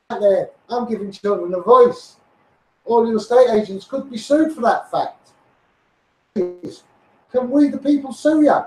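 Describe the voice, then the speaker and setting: A man's voice: extremely high pitch, darkish tone. A man with a Scouse accent on a rambling, impassioned livestream rant, insistently addressing viewers and repeating himself. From Liverpool area, England. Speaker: online campaigner livestreaming. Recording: echoey room, distant microphone.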